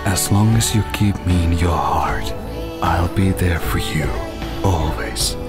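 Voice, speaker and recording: Man playing a very deep, slow, tender loved one. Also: over music. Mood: tender, reassuring. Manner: soothing, reassuring. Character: tender lost male loved one, gentle and encouraging. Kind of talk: animated-series voice acting.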